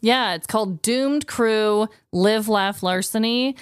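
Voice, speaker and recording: A woman co-hosting a podcast with casual comedic banter, in a playful, expressive way. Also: mid-range voice, takes it slowly, clean tone, dry recording. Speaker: podcast co-host.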